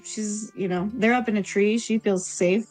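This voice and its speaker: A woman's voice: mid-range voice, fairly even intonation, moderate pace, darkish tone, soft background music, rough recording. A woman improvising narration for her character in a tabletop roleplaying game.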